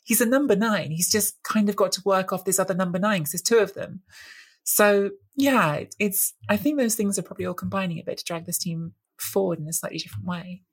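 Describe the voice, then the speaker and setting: A woman's voice: medium pitch, brisk, husky. A woman co-hosting a football chat, analytical and thoughtful, sometimes hunting for the right word. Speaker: football podcast co-host.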